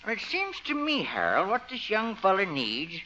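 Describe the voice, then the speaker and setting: A folksy, fussy veterinarian from a 1950s radio comedy. His voice sits high and swoops around as he coaxes and dotes. The recording is muffled and old. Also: huge pitch swings, moderate pace, pushed and bright, full character voice. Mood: chatty, eager. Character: folksy, doting small-town veterinarian, fussy and jolly.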